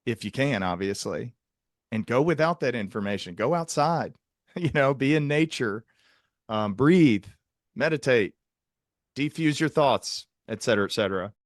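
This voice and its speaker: A man's voice: mid-range voice, lively intonation, moderate pace, no room sound, studio quality. A man hosting a conversational interview, explaining things at length in technical terms as a psychiatrist. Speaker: host, psychiatrist interviewing a guest.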